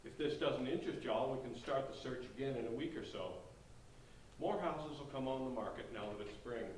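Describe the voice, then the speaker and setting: A man's voice: medium pitch, brisk, darkish tone, lightly acted. A man playing a courteous, folksy real estate agent giving a sales tour in a staged play reading. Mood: courteous, selling. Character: polite Southern real estate agent showing an old inn. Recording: echoey hall, distant mic, faint noise.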